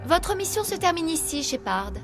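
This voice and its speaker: Hostile alien lady in a game dub, really high voice, defiant, bit of music. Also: very animated, normal speed, exaggerated voice. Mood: menacing. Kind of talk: dubbed video game dialogue.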